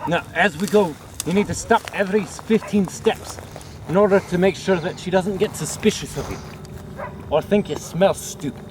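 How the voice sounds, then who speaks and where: A man playing an excitable, easily indignant wilderness survival host with a theatrical manner in a mock nature documentary skit. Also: high-pitched, moderate pace, close to an everyday voice. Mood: hushed, instructive. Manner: theatrical, exclamatory, squabbling. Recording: noisy outdoor amateur recording.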